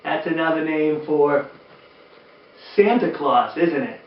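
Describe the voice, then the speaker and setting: A man reading a children's story aloud in an animated, exaggerated way, chatting directly to young listeners. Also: on the high side, slow pace. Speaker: storyteller, former teacher. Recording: roomy, distant, dull sound.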